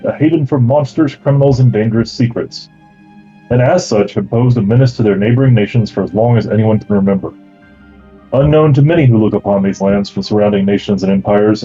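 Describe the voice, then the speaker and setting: This man is the game master of a tabletop session. His voice is mellow and a little husky. He reads the setting's lore steadily, then explains the rules loosely with fillers. The sound is slightly dull, with faint music playing behind him. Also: lowish voice. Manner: steady reading that turns into relaxed explaining with fillers.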